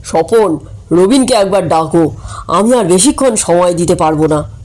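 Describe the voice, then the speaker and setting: A woman's voice: lowish voice, lively intonation, moderate pace, warm tone, voice pushed down, lightly acted, rough recording. A woman voicing a busy male guest of honour in a comic story, politely impatient.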